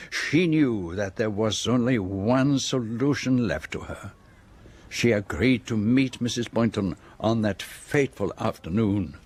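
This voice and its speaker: An actor playing a shrewd, precise Belgian detective with a French-speaking accent, formally laying out a case in a radio drama. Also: male voice, mid-range voice, slightly rough. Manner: measured, precise, formal explanation. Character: shrewd, precise, theatrical Belgian detective, middle-aged man.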